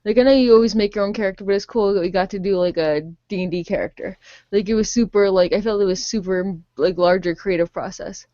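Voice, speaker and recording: A woman's voice: medium pitch, fast talker, dry recording. Laid-back woman, muffled dry audio. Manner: relaxed, filler-heavy, swears casually. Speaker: radio play cast member. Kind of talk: casual group discussion.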